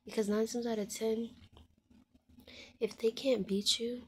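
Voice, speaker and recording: A woman in her twenties speaking candidly to her viewers, frank and heartfelt, often dropping to a soft hushed voice and swearing bluntly. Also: on the high side, little pitch movement, a little slow, slight background noise. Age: 20s. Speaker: vlogger. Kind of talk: candid personal monologue to viewers.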